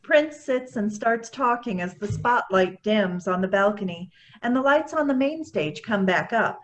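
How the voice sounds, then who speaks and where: A woman's voice: medium pitch, lively intonation, measured pace, rough recording. A woman reading stage directions as scripted narration for a play.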